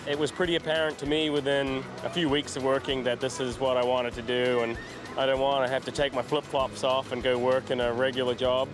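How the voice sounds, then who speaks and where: A man's voice: medium pitch, level tone, a little fast, music in the background. Man from New Zealand, lifeguard sergeant. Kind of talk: documentary interview.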